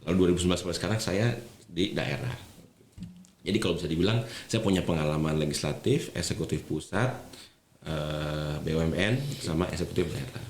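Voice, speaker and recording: Deep-voiced man explaining in an echoey room. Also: fairly quick. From Indonesia. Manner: explanatory, repeats phrases for emphasis, checks in with the listener. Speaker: podcast guest, regional government official. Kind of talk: conversational interview storytelling. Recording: roomy echo, faint background noise.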